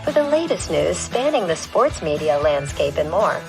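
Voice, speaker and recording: A woman's voice: fairly low pitch, very animated, moderate pace, vocal fry, low-quality recording. A woman reading a scripted promotional podcast outro as an announcer. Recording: music bed behind the voice.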